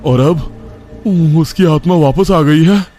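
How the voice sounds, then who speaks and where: A man's voice: on the high side, takes it slowly, warm tone, soft background music, voice pushed up. A man voicing a stern, alarmed young man in a cartoon horror story, questioning intensely. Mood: shocked.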